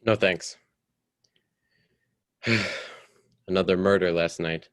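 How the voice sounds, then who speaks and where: An actor playing a staid, preoccupied husband in a radio drama, speaking in a measured, matter-of-fact way. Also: male voice, low-pitched, fairly even intonation, fairly bright tone, dry recording, clean studio sound, full character voice. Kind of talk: old-time radio drama acting.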